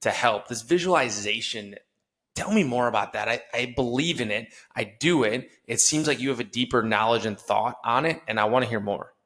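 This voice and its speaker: A man's voice: mid-range voice, moderate pace, a bit bright. A man with a Wisconsin accent hosting a podcast, talking earnestly and conversationally and asking follow-up questions. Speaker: podcast host. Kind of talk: casual podcast interview.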